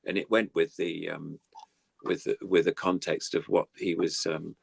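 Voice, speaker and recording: A man's voice: low voice, fairly even intonation, normal speed, vocal fry, dry recording. An older man chatting casually and reminiscing as a guest puppeteer, in a rambling, enthusiastic way. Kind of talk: casual chat, reminiscing about old TV puppetry.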